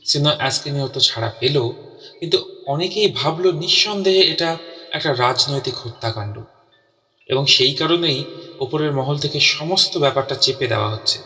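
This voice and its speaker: Earnest male companion-narrator, played by a man for mystery audio drama; measured, distant, long reverb. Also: on the high side, bright, forceful tone. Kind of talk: dramatic first-person audio story narration.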